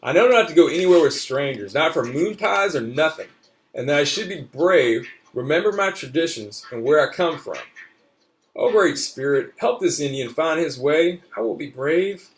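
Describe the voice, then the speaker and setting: A man voicing an excited little boy at Mardi Gras, eager and wide-eyed, hollering in a singsong way. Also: fairly high pitch, normal speed, recorded from a distance, pitched above the performer's own voice, lightly acted. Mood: wondering.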